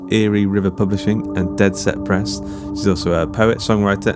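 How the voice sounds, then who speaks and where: A man with a low voice delivers a scripted podcast outro. He reads the credits as host, with music clearly playing behind him.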